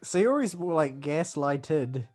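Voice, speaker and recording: Higher-voiced New man reading a game. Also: takes it slowly, faint music behind. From New Zealand. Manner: relaxed, joking, laughs between lines. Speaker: let's play co-host reading the game text. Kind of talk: casual podcast banter while reading aloud.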